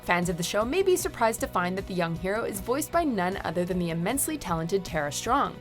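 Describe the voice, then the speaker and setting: Female countdown narrator, upbeat scripted read with lively, swinging intonation over music. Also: medium pitch, fast talker. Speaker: narrator of a countdown list video.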